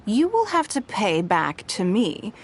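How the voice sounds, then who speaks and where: A woman playing a composed, shrewd and stern wealthy noblewoman in a video game, speaking in a measured, formal, authoritative way. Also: medium pitch, huge pitch swings, husky, exaggerated voice. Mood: businesslike.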